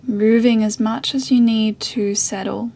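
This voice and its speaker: Slow, soft woman guiding meditation. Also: fairly high pitch, fairly even intonation. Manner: soft, breathy, soothing delivery with long pauses. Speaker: yoga and meditation teacher. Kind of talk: guided meditation.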